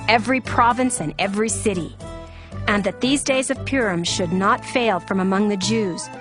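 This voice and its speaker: A woman narrating a dramatized scripture reading in a formal, solemn storytelling manner. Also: medium pitch. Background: background music.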